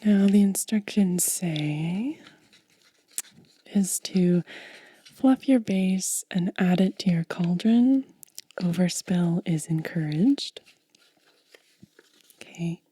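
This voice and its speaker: A woman whispering in soft-spoken ASMR style, gently and unhurriedly explaining each step close to the microphone. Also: lowish voice, animated, takes it slowly, pauses often, no echo. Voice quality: breathy whisper. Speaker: ASMR content creator.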